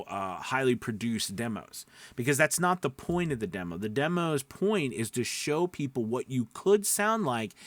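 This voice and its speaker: A man's voice: medium pitch, fairly bright tone, no echo. A man talking informally as a voice-over coach, explaining step by step, repeating points and checking in with listeners. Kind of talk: informal instructional talk to camera.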